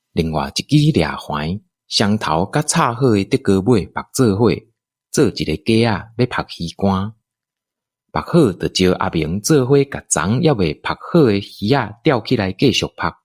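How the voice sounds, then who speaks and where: Low, animated Taiwanese male storyteller. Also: husky, no echo, clean studio sound. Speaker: storyteller, narrator. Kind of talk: scripted narration of a radio drama.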